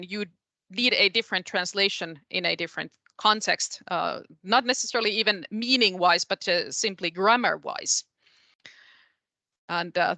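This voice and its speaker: A woman with a Finnish accent and native Finnish, answering questions as a guest researcher in an academic discussion with hesitant, measured phrasing. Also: medium pitch, sharp tone, no room sound. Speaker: guest speaker, translation researcher.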